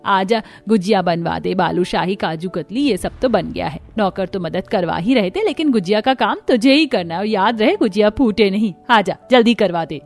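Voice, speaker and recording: A woman's voice: mid-range voice, expressive, normal speed, gravelly, hardly any pauses. A woman playing a demanding, wealthy mother-in-law who gives instructions in a cartoon story. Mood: bossy. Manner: bossy, instructing. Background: music.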